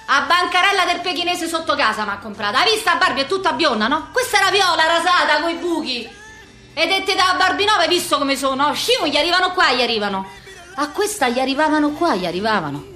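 A woman playing a brash, wounded, childlike working-class Roman street girl in a theatrical monologue, with a slangy, repetitive, excitable delivery and a Roman accent. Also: sits high, quick pace. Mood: outraged complaint. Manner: slangy, repetitive, excitable, acting out many people.

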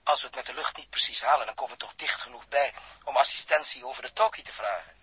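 An actor playing an earnest, inquisitive astronaut scientist in a 1960s radio drama, explaining and questioning. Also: male voice, mid-range voice, fast, pushed and bright, husky, dry recording. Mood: curious, calm.

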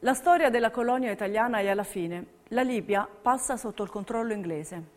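A woman's voice: on the high side, fairly quick, faint music behind. A woman delivering scripted historical narration as a stage narrator. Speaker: narrator in documentary theatre. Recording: long hall reverberation.

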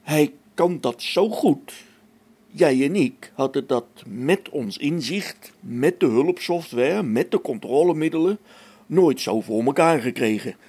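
A man's voice: fairly high pitch, lively intonation, measured pace, a little mellow, breathy edge. An actor playing a harried space station engineer in a radio play, thinking aloud as he reasons things out. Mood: dawning realisation.

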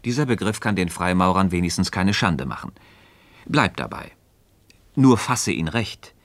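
An actor playing a calm, thoughtful philosopher and evasive mentor in a radio play, speaking in deliberate, rhetorical literary diction. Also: male voice, sits low, no room sound. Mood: insistent, instructive.

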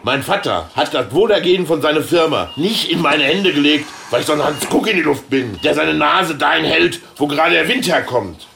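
An actor playing a stubborn, gruff meat-factory heir in a radio play, talking bluntly and colloquially. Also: male voice, fairly high pitch, animated, fairly quick, few pauses, sharp tone, a little hiss or hum, exaggerated voice. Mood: defiant, irritated.

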